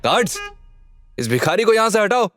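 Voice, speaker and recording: A man playing an arrogant, hot-tempered young rich man in an audio drama, shouting and hectoring. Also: high-pitched, big pitch swings, brisk, pitched above the performer's own voice. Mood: angry shouting. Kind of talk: Hindi audio drama acting.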